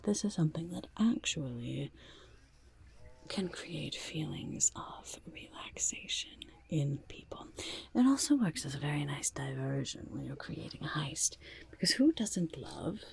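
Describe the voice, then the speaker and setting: A woman voicing a scheming, chatty goblin market trader in a breathy, raspy whisper, as an ASMR roleplay with sly, coaxing sales patter. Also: sing-song, a little slow, a little mellow, exaggerated voice. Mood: playful, scheming. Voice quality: breathy, raspy whisper with creaky low murmurs.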